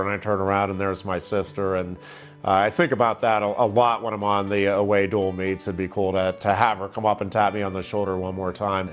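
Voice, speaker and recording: A middle-aged American man with a fairly low voice reflects on his life and work as a sports broadcaster. He tells his stories in interview style, with faint music playing behind him. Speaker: radio wrestling play-by-play broadcaster.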